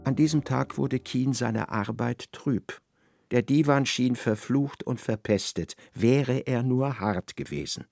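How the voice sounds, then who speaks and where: A man with a low, creaky voice narrating a literary radio drama. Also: takes it slowly, a little mellow, no echo, faint music behind.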